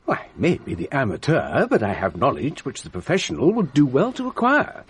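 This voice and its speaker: A man acting in a radio drama as a fussy, excitable well-to-do gentleman amateur sleuth, earnest and easily indignant. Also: mid-range voice, normal speed, slightly rough, exaggerated voice. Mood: indignant, self-important.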